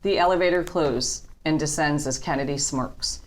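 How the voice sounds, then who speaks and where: Casting: female narrator with a deep register, deliberate and matter-of-fact, for scripted scene descriptions. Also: a little hiss or hum. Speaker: narrator reading stage directions.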